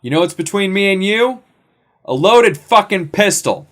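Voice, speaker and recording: Brash male gunslinger acted by a narrator, cocky, taunting, pitched high and loud, for audio fiction. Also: animated, normal speed. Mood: taunting menace. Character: defiant, foul-mouthed gunslinging male sidekick, cocky and threatening.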